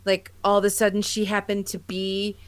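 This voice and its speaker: An American woman with a clear, clean voice and a middling pitch chats casually as a podcast co-host. She talks effusively, in the informal manner of a fan among friends. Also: completely flat delivery, moderate pace. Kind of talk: casual chat about sci-fi fandom.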